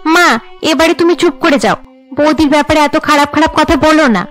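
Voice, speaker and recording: A woman's voice: high-pitched, brisk, a bit bright, soft background music, pitched above the performer's own voice. A woman voicing a caring, outspoken young sister-in-law in a cartoon. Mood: exasperated.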